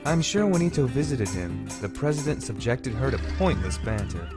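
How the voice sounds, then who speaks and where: A man's voice: mid-range voice, very animated, normal speed, lightly acted. Composed, articulate male student for anime dub, played by a man: matter-of-fact wording, very wide melodic swings, music behind. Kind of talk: anime fan dub acting. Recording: background music under the voice.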